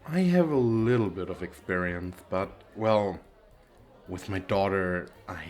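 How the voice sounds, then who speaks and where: Man as a low, slow, awkward single dad. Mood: shy, amused. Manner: hesitant, self-deprecating, soft chuckles. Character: gentle, awkward single dad, flirting shyly. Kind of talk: ASMR roleplay acting.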